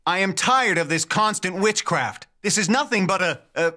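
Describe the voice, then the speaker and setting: A grumpy cartoon dad. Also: male voice, high-pitched, animated, normal speed, pushed and bright, a little hiss or hum. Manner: irritable, stern. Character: grumpy exasperated bespectacled father.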